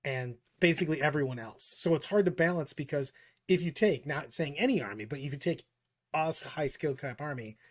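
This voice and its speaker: Podcast co-host, male, slightly high-pitched, emphatic and talky. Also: a little fast. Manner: emphatic, repeats words when starting points. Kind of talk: casual gaming discussion. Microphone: studio mic.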